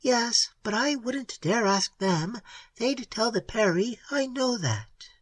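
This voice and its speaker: A woman's voice: on the high side, expressive, slow, breathy edge, no echo, voice pushed up, full character voice. A reader doing a timid young woman. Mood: nervous. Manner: hushed, hesitant.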